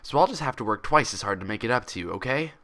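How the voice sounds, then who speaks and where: A man's voice: medium pitch, expressive, fast talker, pushed and bright. A man voicing a meek, guilty young female unicorn patient who speaks hesitantly and apologetically in a storybook reading. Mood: remorseful.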